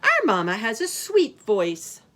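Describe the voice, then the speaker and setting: A wary little storybook goat kid, acted by a woman. The voice is raised and childlike, with emphatic, lively delivery. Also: fairly high pitch, unhurried, pitched above the performer's own voice, exaggerated voice. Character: wary young goat kids, brother and sister.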